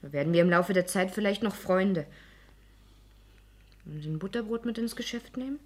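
An actress playing a guarded, gently reproving housewife in a 1960s German radio play, reserved and soothing. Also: female voice, medium pitch, fairly quick, lightly acted. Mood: hushed, conciliatory.

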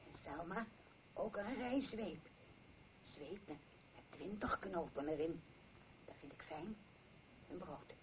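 A childish, querulous old mother in a radio play. She speaks slowly and repetitively, always pleading. The recording is muffled, with some noise behind her. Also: lowish voice, expressive. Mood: dreamy, greedy. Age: older. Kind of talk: Dutch radio play acting.

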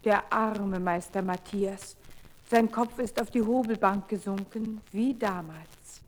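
An actress playing a small, loyal, sympathetic cricket in a children's radio play. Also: female voice, medium pitch, takes it slowly, poor audio.

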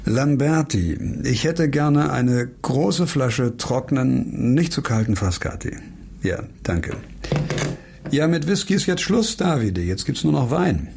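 Wry, gravelly, mellow investigator. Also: male voice, medium pitch, animated, slow pace, a little hiss or hum. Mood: brisk, dry. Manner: sardonic, muttering asides to himself. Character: wry, world-weary disgraced doctor turned amateur investigator. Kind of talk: German radio crime drama acting. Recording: faint music behind the voice.

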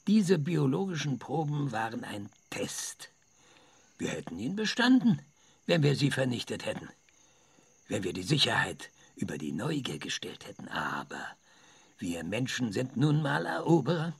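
Gravelly, husky old professor, swinging with passion. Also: male voice, medium pitch, no echo, full character voice. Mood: musing, proud. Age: older. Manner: lecturing, halting, stammering under strain. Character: frail, passionate old male science professor. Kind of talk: radio drama acting.

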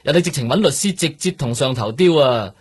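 A middle-aged police boss in a radio drama, grumbling and exasperated in Hong Kong Cantonese. His husky voice swoops up and down as he complains. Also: medium pitch, lively intonation, fairly quick.

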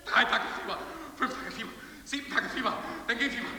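Frenzied insect figure, exclamatory radio-play declamation, heard far off in long cavernous reverb. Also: male voice, extremely high pitch, a little fast, pushed and bright, heavily acted. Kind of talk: experimental sound-poetry radio play. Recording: distant, long echoing reverb.

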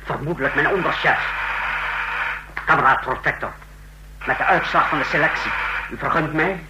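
An actor playing a tense, formal intelligence chief in an old Dutch radio drama, sharp and easily agitated. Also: male voice, a little high, moderate pace, close to an everyday voice. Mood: formal, deferential. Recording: old radio recording with faint hum.

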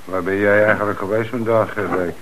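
An actor playing a thoughtful, questioning police detective in a radio play, recorded with old-fashioned hiss. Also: male voice, on the low side, fairly even intonation, a little fast, natural voice, rough recording. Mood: dry probing.

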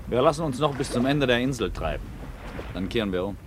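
An actor playing a lively, teasing young friend in a German radio play. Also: male voice, medium pitch, quick pace, background noise, lightly acted. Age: young adult. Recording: radio drama with music behind.

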